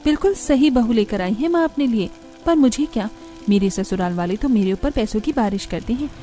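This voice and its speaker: A woman's voice: fairly high pitch, animated, a little fast, mellow, faint music behind, lightly acted. A woman voicing a showy, self-satisfied young daughter-in-law in a family cartoon. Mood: boastful, smug.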